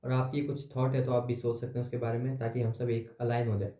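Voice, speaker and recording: A young man hosting his channel in a relaxed, chatty way, thinking aloud with pauses, his voice slightly hoarse. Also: medium pitch. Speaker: YouTube channel host. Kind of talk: casual chat to camera. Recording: echoey room.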